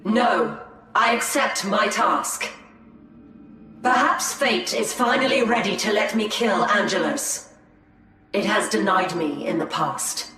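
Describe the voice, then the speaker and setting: An actress playing a proud, guarded alien seer and assassin in a game, speaking in a measured, formal, cool way. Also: female voice, medium pitch, bright, forceful tone. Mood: resolute, brooding. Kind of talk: dramatic game cinematic acting. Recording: echoey hall, music behind.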